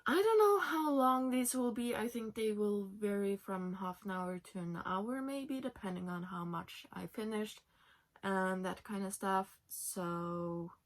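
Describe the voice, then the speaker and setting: A young woman in her twenties from Norway talks in English, her second language, at a slow, unhurried pace. She chats casually, with plenty of fillers, while sitting in her bed at home. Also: mid-range voice. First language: Norwegian. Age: 20s. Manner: chatty, with many fillers. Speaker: knitting podcast host. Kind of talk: casual chat.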